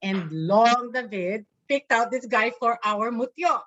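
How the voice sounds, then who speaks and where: A woman's voice: fairly high pitch, unhurried, a bit bright, highs cut off. A woman with a Filipino accent chattily reading reminiscences from a script on a video call. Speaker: reader at a table read.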